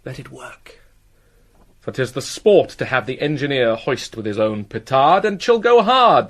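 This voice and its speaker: Sardonic mad-seeming prince, British, mercurial, highish voice, sweeping intonation, Shakespearean radio drama. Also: male voice. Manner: mercurial, mocking, theatrical delivery.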